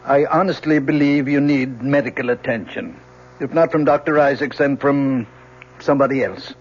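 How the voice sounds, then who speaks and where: An American actor playing a stiff, principled middle-aged professor and father in an old radio drama, speaking in a formal, measured, educated way. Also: medium pitch, natural voice. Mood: earnest concern. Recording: muffled, faintly hissy old radio recording.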